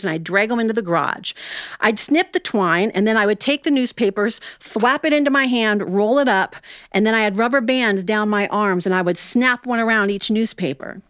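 A woman's voice: on the high side, a bit bright, clean tone, no echo, studio quality. Folksy American woman storyteller. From Ohio, United States. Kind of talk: live oral storytelling, humorous personal tale.

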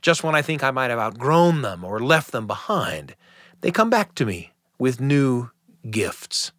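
Man, program host, scripted narration, measured and reflective, medium pitch, dry recording. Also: sing-song, fairly bright tone, no echo.

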